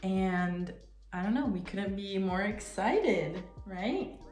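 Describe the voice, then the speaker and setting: A young woman talking casually and earnestly to her viewers, sometimes in quick bursts. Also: medium pitch, lively intonation, a little mellow, echoey room, soft background music. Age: young adult. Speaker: vlogger. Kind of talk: casual chat to camera.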